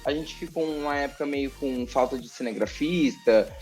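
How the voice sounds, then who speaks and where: A man with a Brazilian accent, a TV journalist chatting as a podcast guest, telling a story in an animated, expressive way and repeating words for emphasis. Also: mid-range voice, moderate pace, soft background music. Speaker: TV journalist and presenter, interview guest. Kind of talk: casual podcast interview storytelling.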